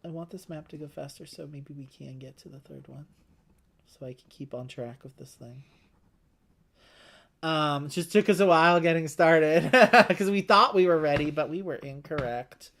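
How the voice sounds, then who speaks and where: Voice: mid-range voice, moderate pace, a bit bright. A person chatting casually while co-hosting a board game playthrough, explaining things as they go in a talkative way.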